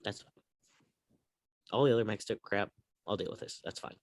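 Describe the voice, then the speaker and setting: A man's voice: lowish voice, normal speed, dry recording, studio quality. A man co-hosting a sports podcast in casual conversation. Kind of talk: casual chat.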